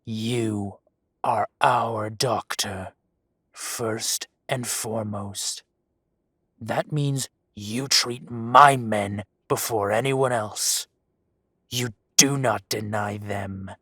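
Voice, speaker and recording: A man voicing a menacing adult male dragon gang boss in an audio roleplay drama. He speaks softly and condescendingly, with deliberate, slow threats and hushed whispers. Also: lowish voice, steady tone, husky, no room sound, clean studio sound, exaggerated voice. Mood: silky menace. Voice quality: soft-spoken, silky and condescending, drops to whispers.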